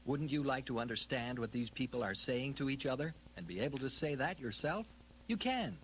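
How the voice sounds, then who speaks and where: A man's voice: medium pitch, expressive, fairly quick, fairly bright tone, soft background music. A man giving scripted language-lesson narration in an inviting, presentational way.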